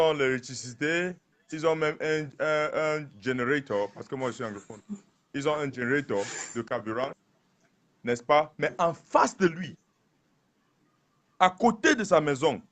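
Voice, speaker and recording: A man's voice: on the high side, expressive, a little slow, long pauses, fairly bright tone. A man calling in to a live stream, pressing his point and interrupting with repeated words. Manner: insistent, interrupts, repeats himself. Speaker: caller. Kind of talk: call-in conversation.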